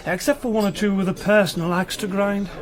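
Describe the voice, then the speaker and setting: An actor playing an uneasy, hesitant political writer in a period radio drama. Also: male voice, high-pitched, fairly even intonation, fairly quick, natural voice. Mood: uneasy, reluctant. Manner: hesitant, distracted.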